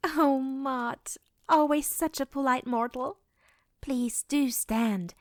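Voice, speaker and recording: A woman plays a kindly goddess in a fantasy audio drama. Her voice is high and unhurried, with a gentle, courteous manner. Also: dry recording. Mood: gracious.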